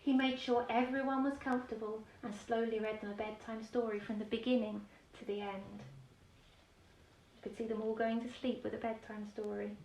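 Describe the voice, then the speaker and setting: Echoey, higher-voiced woman reading a bedtime story. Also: flat delivery, brisk, a little mellow, a little hiss or hum. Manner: explains and puts simple questions to young listeners. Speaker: storyteller reading a children's picture book. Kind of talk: expressive bedtime story reading with asides to young children. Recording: roomy echo, distant microphone.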